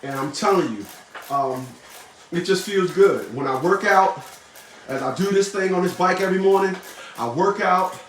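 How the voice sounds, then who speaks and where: A man's voice: sits high, moderate pace, a bit bright, reverberant, far from the mic, slight background noise, low-quality recording. A man in his fifties talking casually to the camera, blunt and boastful, swearing and repeating himself. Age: 50s. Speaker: vlogger.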